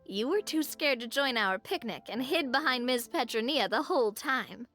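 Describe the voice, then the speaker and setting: A woman's voice: high voice, animated, normal speed, fairly bright tone. An actress voicing a cheerful, playful young noblewoman in a video game, chatty and teasing. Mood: playful, reminiscing. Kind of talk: scripted game dialogue. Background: game music.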